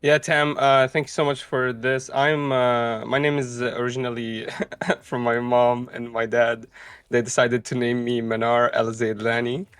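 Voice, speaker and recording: A man's voice: medium pitch, level tone, a little slow, fairly bright tone, clean tone, dry recording. Guy from the uae as a guest commentator, mid voice, dry sound, casual and earnest, explains stuff at length. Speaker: guest commentator and content creator. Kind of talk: casual chat.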